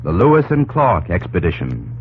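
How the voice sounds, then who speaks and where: An actor as the solemn narrator of an old-time radio history drama, emphatic and portentous. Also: male voice, low-pitched, wildly swooping pitch, faint music behind. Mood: grand. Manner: emphatic, portentous, deliberate phrasing. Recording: tinny old radio recording.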